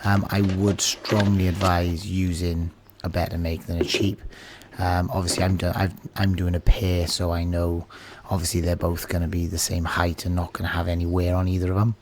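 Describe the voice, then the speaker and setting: Brief: British DIY mechanic in his thirties, deep and a bit hoarse, relaxed and chatty, faint background noise. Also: moderate pace. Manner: relaxed, chatty, filler-heavy explaining. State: losing his voice. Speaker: channel host, car mechanic. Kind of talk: DIY car repair tutorial. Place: small home workshop office indoors.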